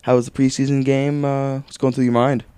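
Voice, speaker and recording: A man's voice: mid-range voice, level tone, fairly quick, dark tone, clear, resonant tone, no echo. A young man co-hosting a casual sports podcast, talking loosely and swearing now and then. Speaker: podcast co-host.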